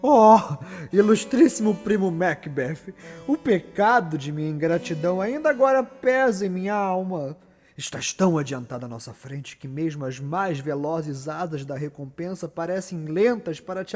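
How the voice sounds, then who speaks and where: A man's voice: on the high side, animated, normal speed, darkish tone, faint music behind, close to an everyday voice. A man with a Brazilian accent playing a grateful, majestic king in Shakespearean theatre, proclaiming grandly and effusively. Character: grateful, majestic king addressing his nobles. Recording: reverberant room with long echo.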